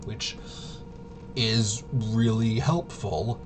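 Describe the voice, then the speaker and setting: Low-voiced man rambling, noisy recording. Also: fairly even intonation. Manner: halting, rambling, thinking aloud. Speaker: tabletop RPG reviewer and explainer. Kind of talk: casual unscripted rules walkthrough. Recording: clearly noisy with low rumble.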